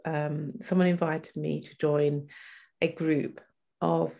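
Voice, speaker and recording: A woman in her forties shares a personal story in conversation. Her voice is quite low and often creaky. She speaks reflectively, stopping and restarting as she gathers her thoughts. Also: level tone, slow, dark tone. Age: 40s. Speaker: interview guest.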